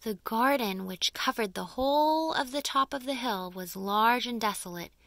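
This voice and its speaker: A woman narrating a children's storybook expressively, with deliberate, dramatic phrasing and emphasis. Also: a little high, vocal fry, fairly bright tone, no room sound, studio quality. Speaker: audiobook reader.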